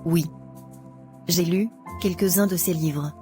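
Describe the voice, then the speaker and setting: A woman playing a sincere, polite young student in love in an animated drama. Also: on the low side, slow pace. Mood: friendly. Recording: music behind the voice.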